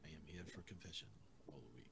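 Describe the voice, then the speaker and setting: A man voicing a solemn, deadpan penitent in a comic game, with a grave voice and distant, quiet pickup. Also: quick pace, heavily acted. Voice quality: very low, grave. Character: grave, deep-voiced penitent come for confession.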